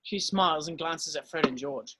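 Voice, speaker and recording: A man's voice: fairly high pitch, normal speed, crowd noise. A man narrating stage directions in an amateur screenplay table read.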